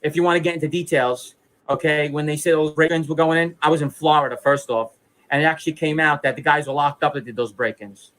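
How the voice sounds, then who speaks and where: A man's voice: fairly high pitch, fairly even intonation, fast, fairly bright tone, poor audio. A man, delivering a defiant, boastful, profane monologue. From New York City. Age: 30s. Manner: blunt, boastful, insistent, profane. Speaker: former mobster making a video statement. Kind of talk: defiant personal monologue to camera.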